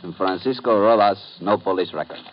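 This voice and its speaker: An actor playing a courteous Cuban police lieutenant in a 1950s radio drama, measured and formal. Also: male voice, low voice, close to an everyday voice. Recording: dull old radio broadcast, faint noise.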